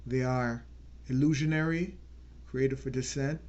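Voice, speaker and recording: A man hosting his own channel, giving an earnest unscripted political talk and slowing down to stress key words. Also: medium pitch, fairly even intonation, darkish tone, highs cut off. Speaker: channel host.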